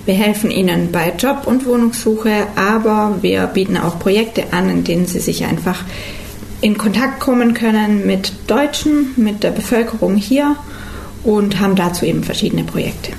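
Calm young woman explaining as radio guest. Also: medium pitch, moderate pace, creaky, a little hiss or hum. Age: 20s. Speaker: studio guest. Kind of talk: radio interview answers. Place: radio studio.

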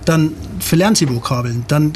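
A man in an interview, explaining in a steady, informative way. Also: fairly high pitch, moderate pace, a little hiss or hum. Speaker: club member.

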